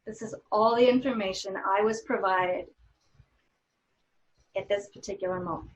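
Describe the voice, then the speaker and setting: A woman reading the part of a stern, formal law office official in a script table read, speaking deliberately with long pauses. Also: mid-range voice, natural voice, low-quality recording. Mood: grave, firm.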